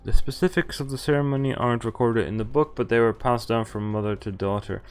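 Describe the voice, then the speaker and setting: A man's voice: mid-range voice, fairly even intonation, fast, smooth, faint music behind. A man streaming a visual novel, reading its text aloud and breaking off to swear and react. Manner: reads narration evenly, breaks off to swear and react.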